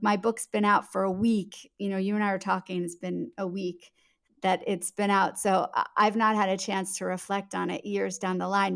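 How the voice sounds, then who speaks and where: Woman, medium pitch, author interview guest, conversational storytelling, candid, reflective, emphatic repetition. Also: vocal fry. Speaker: author and TV producer, podcast guest.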